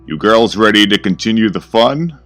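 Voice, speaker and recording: Falsely friendly sinister host, voiced by the narrator; loud, smirking charm. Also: male voice, lowish voice, lively intonation, moderate pace, faint music behind, pitched above the performer's own voice. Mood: falsely cheerful. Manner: smirking, overly cheerful and loud.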